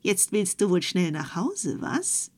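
A woman's voice: on the low side, sing-song, slow, a little mellow, heavily acted. A woman voicing a teasing little male goblin spirit in a fairy tale, with a thin, mocking singsong delivery.

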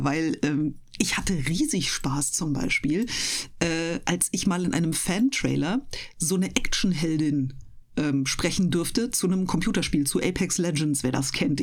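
A woman who works as a speaker, chatting casually and enthusiastically with trained, clear articulation. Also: low-pitched. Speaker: host of an audio channel and interview guest, professional speaker. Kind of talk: casual interview chat.

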